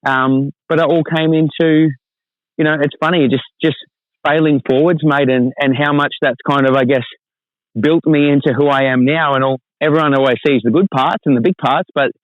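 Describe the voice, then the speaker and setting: A man's voice: a little high, fairly even intonation, moderate pace, no echo, narrow, tinny audio. A man talking as a podcast guest in a rambling, friendly way, often calling his host mate. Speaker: podcast guest, fitness business owner. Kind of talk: casual storytelling interview.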